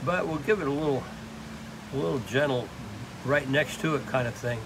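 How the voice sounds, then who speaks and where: An older man demonstrating woodworking in an open workshop, talking in a halting, rambling way full of restarts. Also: mid-range voice, gravelly, background noise. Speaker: woodturner demonstrating. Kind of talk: casual hands-on demonstration.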